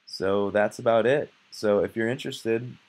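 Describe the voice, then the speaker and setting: A young man casually narrating a screencast walkthrough of his software, relaxed and conversational, with fillers and self-corrections. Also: fairly low pitch, moderate pace. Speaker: software developer demoing his app.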